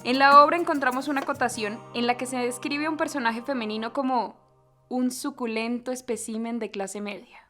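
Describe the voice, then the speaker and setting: Woman narrator reading a documentary script, highish voice, music behind. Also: steady tone, brisk, bright, forceful tone.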